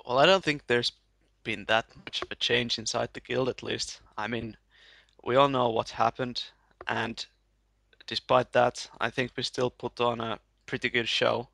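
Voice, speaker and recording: A man whose first language is Finnish speaks calmly and matter-of-factly. His voice is bright and gravelly with little pitch movement. The sound is dry. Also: measured pace, no echo. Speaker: guest, gamer.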